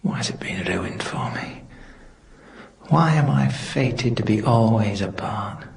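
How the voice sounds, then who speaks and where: An actor playing a lonely, hard-of-hearing man in a radio drama monologue, bitter, halting and tormented by his conscience. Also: medium pitch, animated, creaky, echoey room. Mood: anguished, hushed. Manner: halting, hushed, brooding self-questioning.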